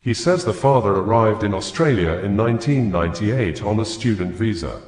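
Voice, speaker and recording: Echoey male news reader, lowish voice. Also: expressive, fairly quick, darkish tone. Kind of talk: scripted news narration. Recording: echoey, roomy sound.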